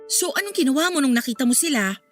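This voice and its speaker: Casting: actress for dramatic, protective Filipina best friend, very high voice, swooping emphatic audio drama delivery. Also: female voice, fast, soft background music. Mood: probing. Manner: expressive, incredulous, emphatic. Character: protective, dramatic female confidante, easily scandalized.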